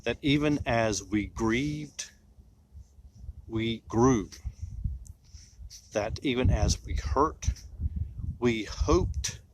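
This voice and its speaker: A man reciting poetry in a solemn style, with deliberate phrasing and dramatic pauses. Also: mid-range voice, slight background noise, poor audio.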